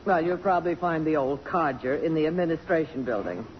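A woman's voice: low voice, expressive, a little fast, a little hiss or hum. Self-possessed middle-aged congresswoman, muffled old radio. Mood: stern, suspicious. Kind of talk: 1950s radio drama acting.

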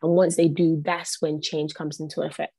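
A woman's voice: low-pitched, little pitch movement, moderate pace, mellow, slightly rough, no room sound. A young woman, a podcast guest whose first language is not English, speaking earnestly and repeating phrases for emphasis. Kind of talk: reflective podcast conversation.

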